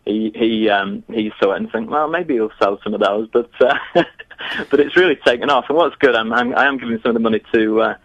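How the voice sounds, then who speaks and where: A man's voice: medium pitch, animated, a little fast, fairly bright tone, no echo, lo-fi sound. A man, answering interview questions in a chatty, hesitant way with many restarts. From northern England. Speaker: guest, geography teacher. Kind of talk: remote interview.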